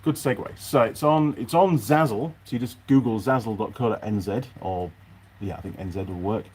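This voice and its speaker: A man's voice: on the low side, moderate pace, a little mellow, husky, rough recording. A man chatting casually and unscripted to his viewers as a mechanic vlogger, rambling along and thinking aloud in his workshop.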